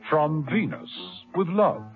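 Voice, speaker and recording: A man narrating a 1970s radio drama in a dramatic, measured storytelling manner. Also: a little high. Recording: tinny old radio broadcast with music.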